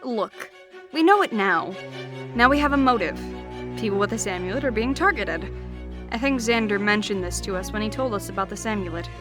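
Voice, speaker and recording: A woman's voice: mid-range voice, expressive, brisk, natural voice. A woman playing a blunt, coarse-tongued young knight investigating murders in a fantasy audio drama. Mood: businesslike. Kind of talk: fantasy audio drama acting and first-person narration. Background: music.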